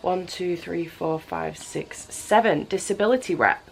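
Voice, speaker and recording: A woman's voice: on the low side, a little slow, gravelly, a little hiss or hum, low-quality recording. A young woman with a cold and a stuffy nose, chatting to camera as a book vlogger, repeating words for emphasis. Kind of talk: casual chat to camera. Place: home room with building work nearby.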